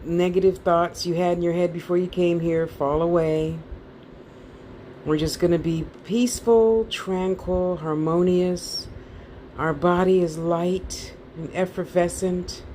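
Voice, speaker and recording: A woman guides a meditation at a very unhurried pace in a soft-edged, mellow voice. She is soothing and informal, slipping in playful asides and repeating short phrases to lull the listener. There is some background noise on the recording. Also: lowish voice. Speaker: guided meditation class teacher. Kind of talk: guided meditation, relaxation instructions.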